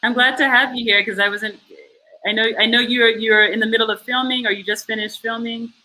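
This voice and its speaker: A woman's voice: fairly high pitch, fairly even intonation, fairly quick, sharp tone, low-quality recording. A woman hosting a festival Q&A on a video call, friendly and hesitant, with many fillers and small laughs. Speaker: festival Q&A host.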